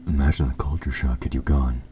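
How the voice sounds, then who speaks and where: A man playing an evil king in an audio roleplay, speaking to the listener in a formal, measured, politely sinister way. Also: extremely low pitch, steady tone, warm tone. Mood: courteous, controlling. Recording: faint background noise.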